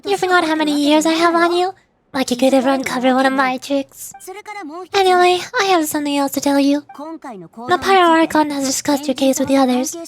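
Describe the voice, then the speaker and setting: A woman's voice: high voice, brisk, close to an everyday voice. A woman playing a stern, teasing, proud trickster grandmother in a video game. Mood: stern, composed. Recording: game music behind the voice.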